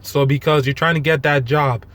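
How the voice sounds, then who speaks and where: A man giving an unscripted, rambling rant in a monotone, halting way, blunt and self-deprecating with casual swearing. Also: on the high side. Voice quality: monotone delivery. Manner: halting, rambling, loosely strung thoughts. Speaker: fitness vlogger.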